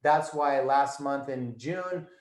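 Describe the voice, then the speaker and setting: A man's voice: medium pitch, takes it slowly. A man speaking as a guest in an interview, emphatic and lecturing in tone. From United States. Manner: emphatic, listing instructions. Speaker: guest, performance coach. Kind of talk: conversational interview. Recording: echoey room.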